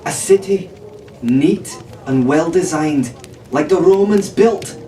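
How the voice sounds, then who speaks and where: A high, eager young pirate woman from a storybook, put on by a male reader. She is adventurous and sure of herself, quick to get excited. The sound is roomy and a little distant from the mic. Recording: echoey room, distant mic, faint hiss.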